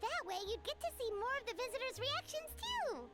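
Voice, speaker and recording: Casting: chirpy childlike fairy sidekick for a game dub, very high and animated. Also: female voice, fairly quick, loud music behind the voice. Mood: curious, encouraging. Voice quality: squeaky, childlike. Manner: excitable, emphatic, exclaiming. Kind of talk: video game character dubbing.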